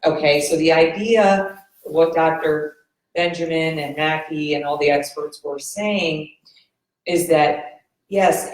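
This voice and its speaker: A woman's voice: low voice, a little mellow. Chatty woman speaking in a roomy hall. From United States. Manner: chatty, laughs at herself, many fillers. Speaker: medical oncologist and moderator. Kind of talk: conference talk. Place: conference hall.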